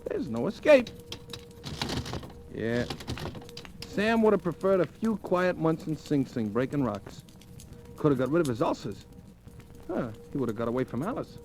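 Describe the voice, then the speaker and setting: An excitable American gambler in a golden-age radio play, with a fairly high, swooping voice. He is wry and colloquial, spinning his tale of bad luck over prominent music on an old recording. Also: moderate pace, long pauses. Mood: wry narration. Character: excitable wisecracking gambler narrating his hard-luck story. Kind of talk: 1940s-50s American radio drama acting.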